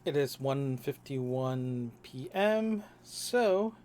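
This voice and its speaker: Man, young adult, from Toronto, rambling audio diary, lots of ums and restarts, mid-pitched voice. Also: animated, drawn-out words, darkish tone. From Toronto, Canada. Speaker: podcast host. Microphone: single microphone.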